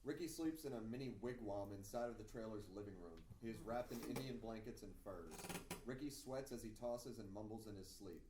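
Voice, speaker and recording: A man's voice: fairly low pitch, a little fast. A man giving scripted narration as he reads stage directions at a table read. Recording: echoey room, distant mic, faint noise.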